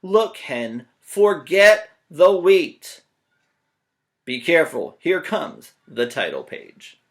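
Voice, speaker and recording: A man's voice: a little high, big pitch swings, slow pace. A man voicing a bossy, frazzled young storybook narrator who is brash and exasperated, cutting in on others.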